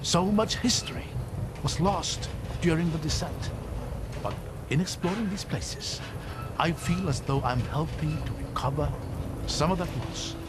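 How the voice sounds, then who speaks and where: An actor playing a thoughtful, kindly older scholar-adventurer in a video game, articulate and wry. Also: male voice, a little high, unhurried, lightly acted. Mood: intrigued, wistful. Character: learned, kindly older male scholar-adventurer, wistful about history. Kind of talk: video game cutscene acting. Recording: loud game score behind. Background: music.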